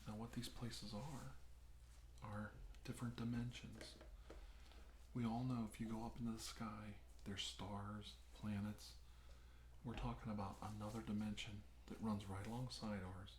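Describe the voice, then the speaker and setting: A man playing a calm, reassuring military doctor in an ASMR medical role-play, speaking softly and slowly and often slipping into whispers. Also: fairly low pitch, natural voice. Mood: calm, earnest. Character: calm reassuring male military doctor examining an alien abductee. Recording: roomy echo with background hiss.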